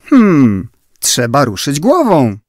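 Cheerful little angel for a rhymed Christmas audio play, animated and measured acted by a performer. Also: medium pitch, no room sound, clean studio sound. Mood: bright, pondering.

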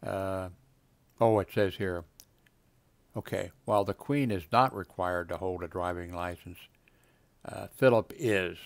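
Older man rambling, gravelly voice. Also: deep voice, measured pace, long pauses, no echo. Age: 70s. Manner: meandering, many fillers and trailing asides. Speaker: video blogger. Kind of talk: rambling casual commentary while reading web pages. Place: home desk with computer.

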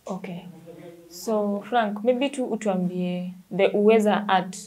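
A woman's voice: medium pitch, measured pace, darkish tone. A woman in her twenties with a Kenyan accent, speaking as a show host in a presenting style. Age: 20s. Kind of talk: presenting to camera, interviewing. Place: indoor art gallery room. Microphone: lapel.

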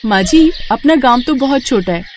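Young daughter-in-law played by a woman for a cartoon tale: high, respectful, gently inquisitive. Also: expressive, normal speed, a little hiss or hum. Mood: curious. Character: polite, curious young daughter-in-law in a village.

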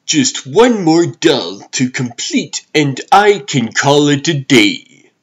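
A man's voice: a little high, sing-song, slow, slightly rough, exaggerated voice. A man voicing a busy, fussy reptilian toymaker in a comic dub, muttering and preoccupied.

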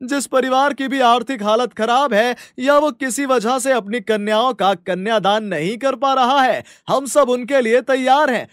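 A man's voice: extremely high pitch, moderate pace, few pauses, dry recording, clean studio sound. A man voicing a cartoon stage announcer at a village play, addressing the public in a formal, declamatory way. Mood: formal, proclaiming.

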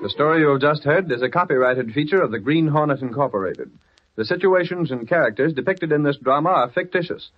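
A man's voice: on the high side, lively intonation, brisk. A man reading a formal scripted sign-off as an old-time radio network announcer. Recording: muffled old radio broadcast. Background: music.

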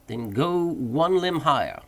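A man voicing an excitable, commanding gentleman with urgent, formal diction in a storybook reading. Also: a little high, slow, vocal fry, exaggerated voice.

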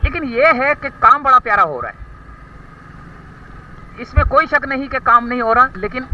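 A man with a Karachi Urdu accent giving rambling ride-along commentary from a motorcycle, recorded on a helmet camera, often pausing to search for words. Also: extremely high pitch, expressive. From Pakistan. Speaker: motorcycle vlogger. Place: outdoors on a motorcycle, muddy city street. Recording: distant, dull lo-fi with faint traffic.

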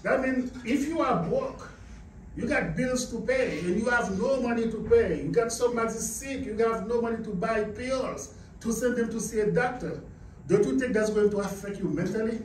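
A man's voice: very high voice, moderate pace, slight background noise. A man from Haiti speaking to a room as a seminar speaker, giving a motivational business talk and putting questions to the audience. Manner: emphatic, asks the audience questions. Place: carpeted indoor meeting room. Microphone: far camera, no visible mic. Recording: echoey room, camera far off.

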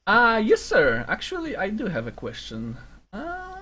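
A man's voice: high voice, huge pitch swings, a little slow, bright, forceful tone, breathy edge. A man roleplaying a job applicant in a game, hesitant and full of fillers, breaking into laughter. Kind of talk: in-character roleplay banter.

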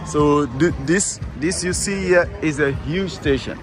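A man's voice: on the high side, unhurried, over music. A man with a Ghanaian accent casually explaining things as a tour guide, often repeating and rephrasing himself. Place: outdoors at a busy bus station. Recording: outdoor street noise. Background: street and vehicle noise.

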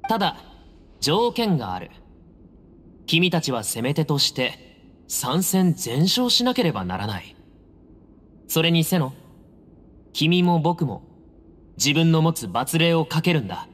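A guarded young temple heir in an anime game. The voice sits fairly high for a man and moves expressively, yet stays composed and firm. It rings in a long hall over music. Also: big pitch swings, measured pace, long pauses, a bit bright, heavily acted. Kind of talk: Japanese anime game voice acting. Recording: reverberant hall, music underneath.

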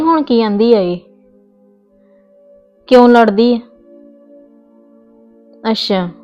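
A woman's voice: fairly high pitch, muffled recording. A woman playing a stubborn young wife in a family skit, scolding and insistent. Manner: scolding, insistent, voice rising in argument. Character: young married woman, stubborn, quick to argue. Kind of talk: scripted family comedy skit.

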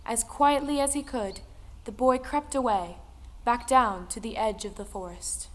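A woman's voice: a little high, measured pace. A woman reading scripted folktale narration for an audio drama. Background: music.

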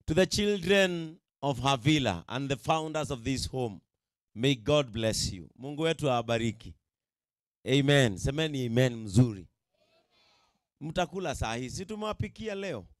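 A middle-aged Kenyan pastor with a Kikuyu accent, preaching emphatically to a gathering and often repeating himself to check they follow. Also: male voice, medium pitch, lively intonation, moderate pace, dry recording, studio quality. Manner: emphatic, repetitive, checks in with the audience. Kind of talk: sermon to a live gathering. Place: outdoor tented event. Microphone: handheld microphone.

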